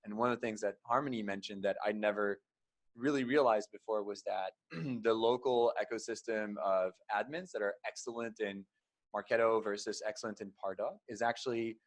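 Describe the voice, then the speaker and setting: Lower-voiced man explaining on a panel. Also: steady tone, moderate pace, no echo. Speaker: marketing panelist.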